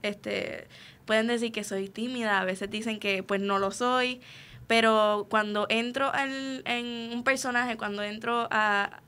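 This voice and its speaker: A woman's voice: a little high, fairly even intonation, a little slow, pushed and bright. A young woman with a Puerto Rican accent answering casually as an interview guest, often hesitant as she searches for words. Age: young adult. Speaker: interview guest, student actor.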